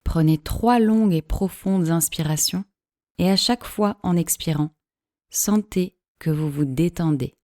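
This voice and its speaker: Female meditation guide, calm and measured, mellow tone, close dry sound, soothing instructional delivery. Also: on the low side, dry recording. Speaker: yoga nidra teacher.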